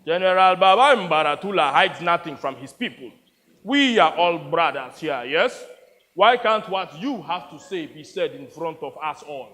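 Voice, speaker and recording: A pompous warlord general booms out every line, slow and grandiose, as a man puts him on. His pitch sits high and leaps about. A long echo trails behind each word. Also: lively intonation, pushed and bright. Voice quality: booming, drawn-out declarations.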